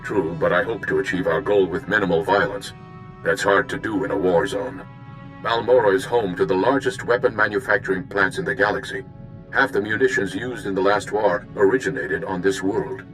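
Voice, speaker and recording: Calm diplomat in a game, lowish steady voice, faint music under. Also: male voice, poor audio. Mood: calm briefing. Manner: measured, diplomatic briefing tone. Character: earnest male Republic diplomat, calm and measured. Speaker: mission contact. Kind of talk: video game voice acting.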